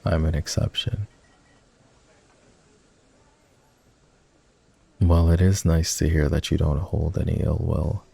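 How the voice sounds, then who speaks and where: Male actor for remorseful ex-boyfriend boss in ASMR romance audio: mellow, husky man's voice, tender, halting and confessional. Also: fairly even intonation, no room sound, heavily acted. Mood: gently teasing. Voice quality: husky, gravelly low voice. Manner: soft, hesitant, confessional. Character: regretful, tender ex-boyfriend turned office boss. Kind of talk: ASMR boyfriend roleplay, intimate scripted monologue.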